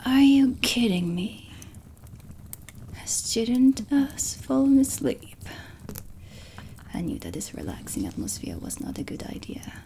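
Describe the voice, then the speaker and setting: A woman playing a stern, sardonic dark witch teacher, soft-spoken and deliberate in an ASMR roleplay lesson. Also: fairly high pitch, huge pitch swings, slight background noise, exaggerated voice. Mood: irritated, sarcastic. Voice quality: soft, breathy whispering. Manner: slow, deliberate, haughty, menacing politeness. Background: ambient music.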